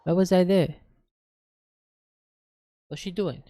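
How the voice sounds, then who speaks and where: A man's voice: sits high, slow pace, dark tone. Slangy, joking man doing gameplay reactions. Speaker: gaming video creator. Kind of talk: casual let's-play reactions.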